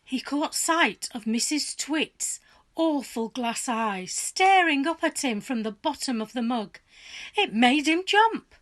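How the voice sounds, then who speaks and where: Slow, animated woman storyteller. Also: sits high. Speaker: school staff member reading aloud. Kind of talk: expressive children's storybook narration.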